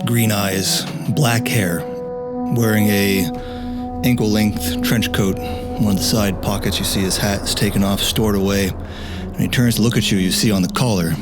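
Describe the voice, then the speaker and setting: A man role-plays in a tabletop audio drama, describing and speaking in short, halting phrases. His voice is low, with loud music running underneath. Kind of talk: improvised descriptive role-play, in-character dialogue and narration.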